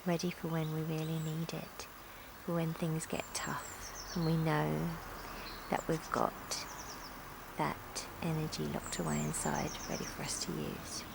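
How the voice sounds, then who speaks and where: A woman leading a guided yoga nidra relaxation in a calm, gentle, soothing manner with long pauses, recorded outdoors in a garden. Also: sits low, vocal fry. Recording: outdoor recording with insect and nature noise. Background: insects, sounds of a sunny garden.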